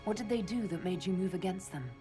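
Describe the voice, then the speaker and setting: A woman's voice: mid-range voice, quick pace, mellow, natural voice. A woman voicing a direct, probing elf heroine. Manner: direct, questioning, wounded. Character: young female elf leader, hurt but searching. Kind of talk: video game voice acting. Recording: game audio with music score.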